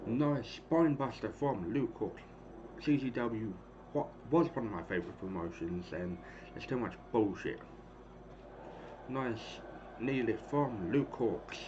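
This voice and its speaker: A hoarse man in his thirties casually calling the action of a wrestling game he is streaming, rambling and clearing his throat often. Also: mid-range voice, animated, slow pace. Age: 30s. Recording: dull lo-fi stream audio with clear noise.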